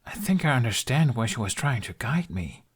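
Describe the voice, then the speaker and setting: A man playing an awkward, earnest young dragon rider in an audiobook, halting and self-justifying. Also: medium pitch, very animated, breathy edge, pitched above the performer's own voice. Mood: earnest persuasion.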